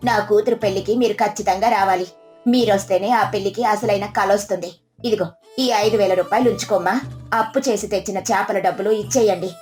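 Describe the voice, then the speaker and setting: A woman's voice: mid-range voice, brisk, a little mellow, faint music behind, close to an everyday voice. A woman voicing a wealthy middle-aged aunt with an effusive, motherly manner in a moral story. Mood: tender, remorseful.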